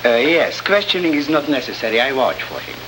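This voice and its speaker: A man's voice: medium pitch, expressive, a little fast, lightly acted. Man playing a scornful, menacing Latin American newspaper editor. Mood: smooth, evasive. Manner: sarcastic, grandiloquent. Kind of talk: 1950s radio drama acting. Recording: noisy old radio broadcast recording.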